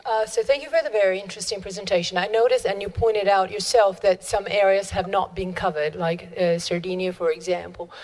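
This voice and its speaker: A woman's voice: medium pitch, steady tone, a little mellow, low-quality recording. A woman in the audience politely asking a question at a conference. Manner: polite, slightly halting questioner. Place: conference hall.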